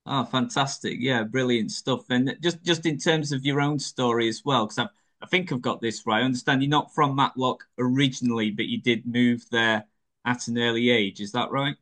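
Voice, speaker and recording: An English man interviewing an athlete, friendly and pausing often with "uh". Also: medium pitch, steady tone, fairly bright tone. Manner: friendly, hesitant with many "uh" fillers. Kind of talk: interview questions.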